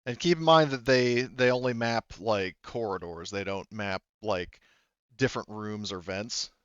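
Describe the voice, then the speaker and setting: Male game master, smooth and clear, casual, halting rules explainer who keeps checking himself; slightly muffled mic. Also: on the low side, a bit bright, no room sound. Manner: hesitant, self-interrupting, often double-checking.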